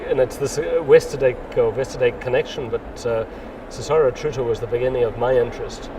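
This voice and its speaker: A man's voice: mid-range voice, steady tone. A man in his sixties answering interview questions casually, rambling with frequent restarts. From South Africa. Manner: rambling, restarts often, unhurried. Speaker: interviewee, mycologist. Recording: distant mic, loud outdoor noise.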